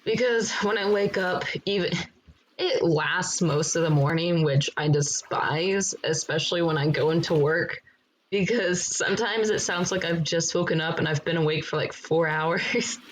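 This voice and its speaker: A woman streaming a game in a relaxed, rambling chat, with a froggy morning voice and frequent laughter. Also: lowish voice, normal speed, a little hiss or hum, poor audio. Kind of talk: casual live-stream chat while gaming. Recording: loud background music over the voice.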